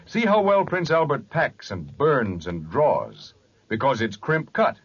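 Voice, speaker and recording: Husky, animated radio ad man. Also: mid-range voice, unhurried. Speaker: commercial announcer. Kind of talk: 1940s radio advertisement read. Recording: dull 1940s radio recording.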